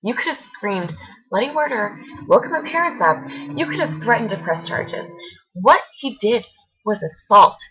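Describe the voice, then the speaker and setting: A woman acting a hurt, accusing young woman confronting her former best friend in a halting, emotional dramatic monologue. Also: lowish voice, a bit bright, dull audio, soft background music, close to an everyday voice. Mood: angry, accusing. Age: young adult.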